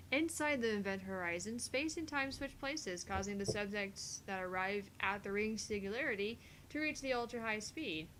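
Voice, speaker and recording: A woman's voice: mid-range voice, animated, normal speed, a bit bright, slight background noise, low-quality recording. A woman doing an acted reading of dialogue from a visual novel game. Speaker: voice reader in a visual novel playthrough.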